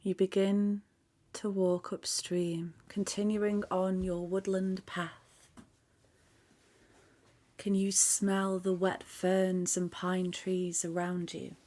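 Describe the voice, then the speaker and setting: A woman leading a guided meditation in a soft, calm voice that often drops to a near-whisper. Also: on the low side, slow pace. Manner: soft, calm, hushed, half-whispered at times.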